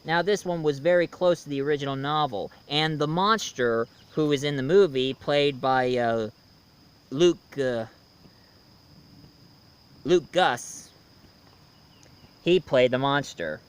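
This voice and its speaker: A man in his thirties talks through a casual review as the host of his own video. His voice sits a little high and is notably smooth and clean. He speaks off the cuff, halting to find words and often repeating the start of a phrase. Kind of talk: casual unscripted review talk.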